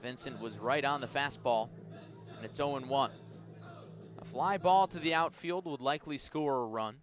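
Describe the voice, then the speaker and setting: A man doing live radio baseball play-by-play as the announcer, measured between pitches and lifting into excited calls on the action. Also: faint music behind. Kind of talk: live radio sports commentary.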